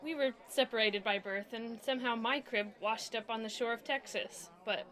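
A woman's voice: fairly high pitch, normal speed, a bit bright, close to an everyday voice, poor audio. A woman playing a friendly American young woman.

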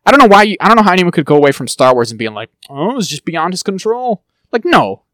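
Fast animated male podcast host. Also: high voice, no echo, clean studio sound. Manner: fast, animated, breaks into quick mock impressions. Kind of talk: casual chat about TV shows.

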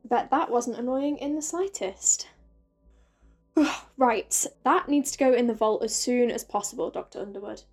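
A stern, guarded doctor in a sci-fi audio drama. Her voice is high but soft-edged and dark in tone, her delivery clipped and formal. Faint music sits behind her. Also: normal speed. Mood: irritated, stern. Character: stern, guarded, rule-minded woman doctor at space clinic.

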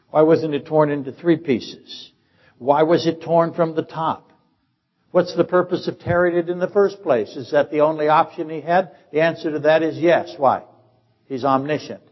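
An older man preaching a sermon as pastor, firing off rhetorical questions to teach his congregation. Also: fairly high pitch. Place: church sanctuary. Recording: dull, echoey church sermon recording.

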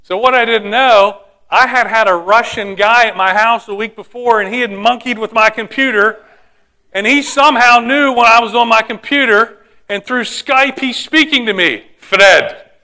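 A man's voice: extremely high pitch, normal speed, bright, forceful tone. A man preaching a sermon, telling stories and putting questions to the congregation. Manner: storytelling, asks the congregation questions. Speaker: pastor.

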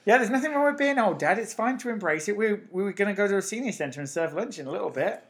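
An English man in his thirties co-hosts a relaxed podcast, his accent softened by a trace of American. He teases his guests, laughs easily and slips into little voices as he tells stories. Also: high voice, fast talker. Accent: English, with some American influence. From England. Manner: teasing, laughs often, acts out voices in stories. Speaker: podcast co-host. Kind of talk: casual chat.

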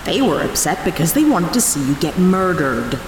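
A woman's voice: lowish voice, sing-song, moderate pace, background noise. A woman playing a no-nonsense spaceship captain in a sci-fi audio drama, dry, bossy and sarcastic. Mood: indignant. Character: brisk, no-nonsense female spaceship captain, sardonic and exasperated.

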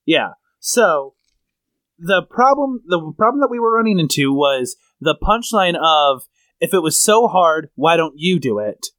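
A man's voice: fairly high pitch, very animated, unhurried, a bit bright, no echo. A man co-hosting a comedy podcast in casual chat, explaining things at length and telling stories. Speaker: podcast co-host.

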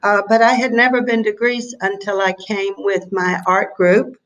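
A woman in her seventies from North Carolina with a Southern US accent, chatting as an interview guest in a reflective, halting, anecdotal way. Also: medium pitch, little pitch movement, fairly bright tone, poor audio. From North Carolina, USA. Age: 70s. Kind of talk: casual interview chat.

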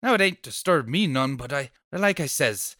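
A man's voice: on the high side, animated, moderate pace, pushed and bright, dry recording, clean studio sound. A man playing a nosy, chatty, stubborn off-duty policeman neighbor in a table read of an old radio horror play, with folksy, blustery speech. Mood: confiding, sheepish.

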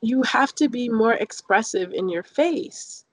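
A woman in her thirties from London, telling personal stories casually and jokingly as an interview guest, with animated emphasis. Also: on the high side, measured pace, husky, no echo.

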